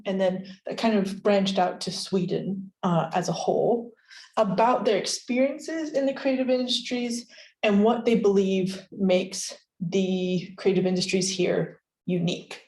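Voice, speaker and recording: A woman's voice: medium pitch, darkish tone, reverberant, rough recording. A woman with an American accent giving a friendly, chatty research seminar with frequent fillers and light laughs. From United States. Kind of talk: academic seminar talk. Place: university seminar room.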